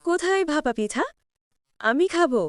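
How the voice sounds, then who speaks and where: A woman's voice: high-pitched, expressive, normal speed, no echo, clean studio sound, faint music behind. A woman voicing an eager, affectionate young city girl in a cartoon. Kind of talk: cartoon dialogue.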